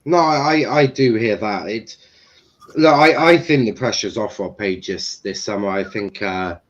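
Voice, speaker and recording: A man's voice: on the low side, unhurried. A young man hosting a casual football commentary stream, rambling with fillers and swearing. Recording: dull lo-fi stream audio.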